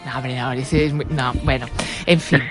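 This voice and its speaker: A woman's voice: rumbling low, fairly even intonation, normal speed, soft background music. A woman with a Catalan accent hosting a radio health show, chatting casually and laughing often. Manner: bubbly, laughs often, trails off.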